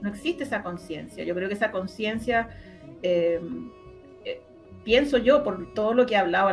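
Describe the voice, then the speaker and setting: Chilean woman in conversational interview, music behind. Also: on the low side. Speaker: interviewed radio drama creator.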